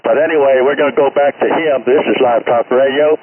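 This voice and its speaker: A man's voice: medium pitch, steady tone, brisk. A man in his eighties chatting as a CB radio operator in a folksy, boastful way, with broadcast-style catchphrases, over a radio transmission. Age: 80s. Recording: narrow tinny CB radio, static hiss.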